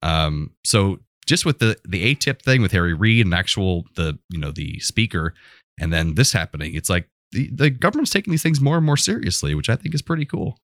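A man's voice: sits low, expressive, a little fast, fairly bright tone, dry recording. An American man co-hosting a podcast, talking casually and telling things in an informal, rambling way. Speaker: podcast co-host.

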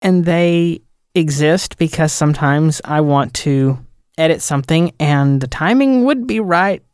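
A man's voice: fairly high pitch, unhurried, smooth, no room sound, clean studio sound. A man talking casually and explaining things as a podcast audio editor.

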